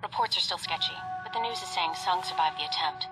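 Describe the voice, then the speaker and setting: A woman voicing a supportive intelligence handler in a video game, brisk and informative. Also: lowish voice, over loud music, close to an everyday voice, rough recording. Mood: businesslike.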